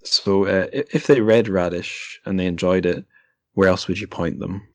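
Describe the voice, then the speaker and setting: A man's voice: low-pitched, steady tone, moderate pace, darkish tone, no echo. A man hosting a podcast, talking casually in a literary interview. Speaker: podcast host.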